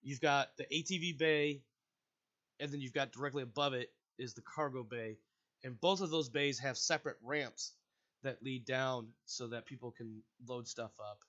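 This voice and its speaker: Game master, man, congested, rambling host, forceful tone, lo-fi chat sound. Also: medium pitch, steady tone, normal speed. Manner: rambling, casual, with frequent restarts. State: stuffy and congested. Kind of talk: hosting and narrating a tabletop game. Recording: dull, lo-fi voice chat audio.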